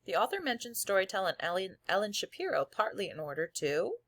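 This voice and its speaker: A woman's voice: mid-range voice, very animated, a little fast, dry recording. A woman schoolteacher reading aloud and giving her class encouraging, step-by-step instructions in a recorded lesson. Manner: addresses pupils directly, encouraging, step-by-step. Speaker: elementary school teacher.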